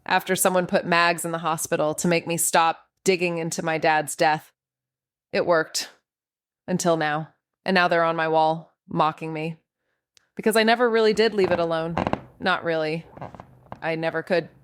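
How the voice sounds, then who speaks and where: A dry, close-up studio sound carries an actress as a haunted female sleuth with a lowish voice. She is wry and brooding, telling her story like noir narration, guilty and defensive underneath. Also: fairly even intonation, normal speed, smooth, no room sound, natural voice. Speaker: narrator and lead character of an audio drama. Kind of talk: first-person noir narration and dramatic dialogue.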